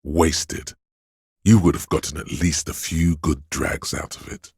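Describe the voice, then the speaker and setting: Very deep, gravelly sleazy tempter. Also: male voice, unhurried, darkish tone, no room sound, clean studio sound, full character voice. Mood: wistful, intrigued. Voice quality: gravelly, husky. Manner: coaxing, indulgent, theatrical. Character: inner voice of addiction, sleazy hedonistic male tempter. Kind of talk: video game character voice lines.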